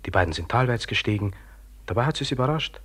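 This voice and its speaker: A man's voice: medium pitch, lively intonation, fast talker, a bit bright. An actor playing the grim, taunting inner voice of a trapped man, terse and half-whispered, in a German radio play. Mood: grim, commanding.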